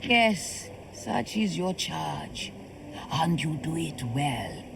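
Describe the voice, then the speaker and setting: Creaky, wise old temple elder. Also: female voice, low voice, very animated, drawn-out words, noisy background, heavily acted. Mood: calm counsel. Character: wise, stern older religious elder and mentor. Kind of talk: video game voice acting.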